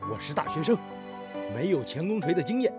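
An actor playing an eager, admiring young apprentice steel worker in a radio drama, earnest and excitable. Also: male voice, fairly high pitch, a little fast, loud music behind the voice. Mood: earnest, determined. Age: young adult.

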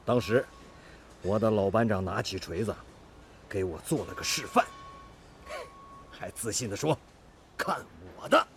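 Low-voiced seasoned master steel worker. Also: male voice, lively intonation, a little slow, pauses often, fairly bright tone, a little hiss or hum. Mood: amused, reminiscing. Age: middle-aged. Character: seasoned, modest male master fitter at a steel plant. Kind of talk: radio drama acting.

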